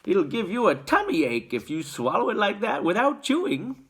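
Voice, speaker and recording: Reader putting on a fat shopkeeper man, jolly and excitable, voice shooting way up and hollering, wildly bouncing pitch, storybook shopkeeper. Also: normal speed, breathy edge, exaggerated voice. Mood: friendly. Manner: excited yelling, breaking into laughter.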